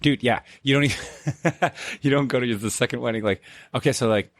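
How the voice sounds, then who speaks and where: A man's voice: medium pitch, fairly quick, no echo, studio quality. A man co-hosting a podcast in casual banter, laid-back and profane, with lots of filler words. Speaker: podcast co-host.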